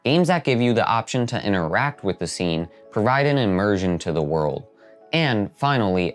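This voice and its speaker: A man hosting a video essay, reading scripted narration. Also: fairly low pitch. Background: background music.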